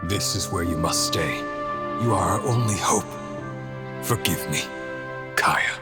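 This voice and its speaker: A man's voice: low-pitched, measured pace, over loud music. A man voicing a stern, sorrowful father in a video game.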